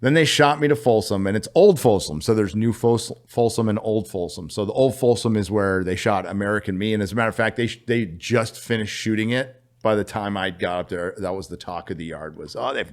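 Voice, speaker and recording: A middle-aged man from California telling a story as a podcast guest, animated and profane, laughing as he goes. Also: on the low side, fairly quick, hardly any pauses. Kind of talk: casual storytelling.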